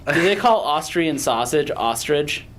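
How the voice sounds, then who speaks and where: A man's voice: fairly high pitch, animated, unhurried, fairly bright tone. Joking young man on a podcast. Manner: joking, rambling, breaks into off-key singing. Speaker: podcast co-host. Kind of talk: casual chat. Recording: faint background noise.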